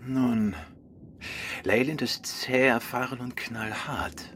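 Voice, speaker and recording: A man playing a shrewd, composed detective in a German radio drama, speaking in a measured, analytical way. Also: medium pitch, fairly even intonation, fairly bright tone, a little hiss or hum. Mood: thoughtful, measured. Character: shrewd, composed male amateur detective, husband.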